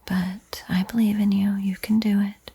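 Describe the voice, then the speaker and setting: A woman whispers painting instructions in a soothing, encouraging ASMR style, with a breathy, airy voice. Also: mid-range voice, little pitch movement, moderate pace, dark tone. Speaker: art tutorial host.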